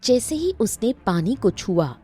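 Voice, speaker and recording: Slow, mellow woman storyteller. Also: a little high, very animated, faint music behind. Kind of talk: scripted cartoon story narration.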